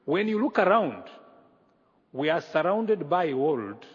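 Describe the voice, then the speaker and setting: A man from Rwanda preaching as a guest pastor, earnest and measured with pauses, in a church sanctuary. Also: on the high side, big pitch swings, breathy edge. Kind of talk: sermon. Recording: echoey hall, dull lo-fi sound.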